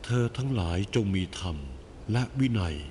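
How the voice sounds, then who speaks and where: A man playing the Buddha in an animated film, a calm, wise teacher speaking in a solemn, measured way with gentle instruction. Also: on the low side, slight background noise, close to an everyday voice. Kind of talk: scripted animated film dialogue.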